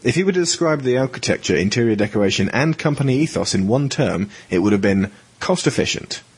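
A man's voice: medium pitch, lively intonation, quick pace, husky. A man reading scripted audio drama narration as the storyteller.

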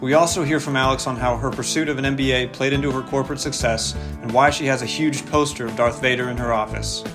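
A male podcast host reading a scripted episode introduction in a measured way. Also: medium pitch, steady tone. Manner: measured, read-out delivery. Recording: music bed under voice.

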